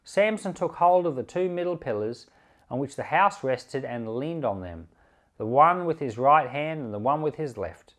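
A man reading scripture aloud for a podcast in a measured, deliberate, expressive storytelling manner. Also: mid-range voice. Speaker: pastor and Bible reader.

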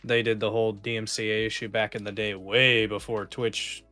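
A man with a somewhat low voice co-hosts a casual gaming podcast. He explains things informally and loosely, swearing freely. Quiet music plays behind him. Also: level tone, normal speed. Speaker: podcast co-host.

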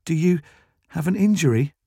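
A man voicing a kindly, calm policewoman in an audio story, speaking with patient, sympathetic care. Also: mid-range voice, dry recording, clean studio sound, pitched above the performer's own voice. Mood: gentle, sympathetic. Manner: slow, clear, sympathetic.

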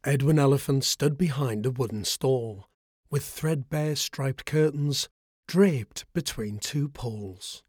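A man reading a bedtime story aloud, soothing and expressive. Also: mid-range voice, husky, no echo, clean studio sound.

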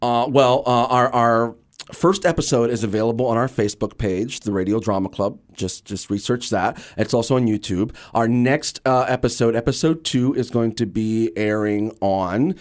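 A middle-aged American man, an actor, chatting casually as an interview guest and telling stories in an animated way. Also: medium pitch, normal speed. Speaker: guest, stage actor and radio drama producer.

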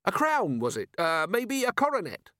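Man doing a frantic, high-pitched whining robber. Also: wildly swooping pitch, normal speed, sharp tone, no echo, clean studio sound, full character voice. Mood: desperate. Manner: whining, pleading. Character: desperate male robber, frantic and pleading. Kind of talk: audiobook character voice.

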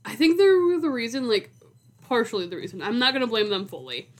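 Higher-voiced young woman chatting casually. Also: very animated, quick pace. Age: 20s. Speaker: podcast co-host. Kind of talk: casual chat.

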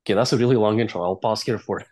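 Low-voiced man. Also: racing, darkish tone. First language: Russian or Latvian. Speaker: interview guest, game company founder and CEO. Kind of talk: conversational interview storytelling.

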